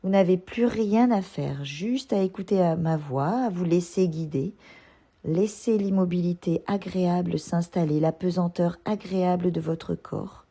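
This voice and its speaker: A woman yoga instructor leading a guided relaxation meditation, giving gentle, soothing instructions. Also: fairly low pitch, a little slow, breathy edge.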